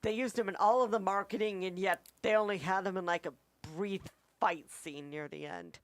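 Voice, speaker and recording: A woman's voice: medium pitch, normal speed, no room sound. A woman chatting casually as a co-host on a talk show. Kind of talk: casual chat.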